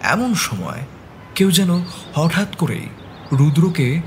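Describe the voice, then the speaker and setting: A man narrating a detective story as the sleuth's loyal, concerned companion, in a measured dramatic style. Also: a little high, sing-song, a little hiss or hum. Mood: measured suspense. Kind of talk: scripted suspense story narration. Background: background music.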